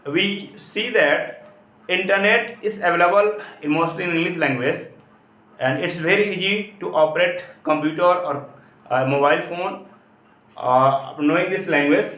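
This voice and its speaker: A man from India with an Indian accent and Hindi as his first language, giving an explanatory English lesson as a teacher, with halting, repetitive phrasing. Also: a little high, a bit bright. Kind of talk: spoken lesson, explanatory talk. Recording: echoey, roomy sound.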